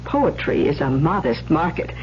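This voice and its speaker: A smooth, effusive lady agent who talks down sweetly in vintage radio style. Her voice sits a little low, on a muffled and noisy recording. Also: very animated, moderate pace. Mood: placating. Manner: effusive, politely patronizing. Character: gushing, smooth-talking female literary agent. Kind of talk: old-time radio drama acting.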